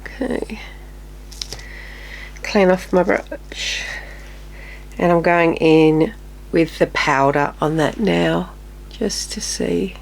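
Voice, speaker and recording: A woman in her fifties with a fairly low voice that is still a little nasal, talking through her makeup routine. She chats casually and drops into quiet, murmured asides while she works. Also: extremely slow, gravelly, long pauses, slight background noise. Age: 50s. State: slightly nasal, recovering from illness. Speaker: beauty vlogger. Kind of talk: casual makeup tutorial chat.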